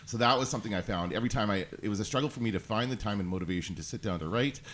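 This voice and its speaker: A man with a naturally loud, fairly low voice, speaking quickly into a studio mic. He is self-deprecating and hesitant, often restarting his sentences, in a casual podcast chat. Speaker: podcast producer and guest. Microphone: studio microphone.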